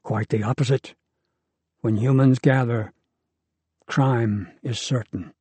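A male archangel pronounces judgment in a quiet, slow, measured voice, as a man voices him. His tone is low and mellow, close and dry. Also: steady tone, husky, no room sound. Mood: cold. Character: archangel, male, calm and cold.

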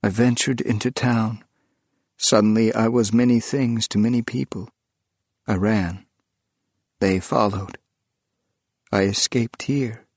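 A man's voice: on the low side, steady tone, takes it slowly, pauses often, a little mellow, no echo. A man voicing a frightened, mournful male ghost who speaks in a trembling, pleading way.

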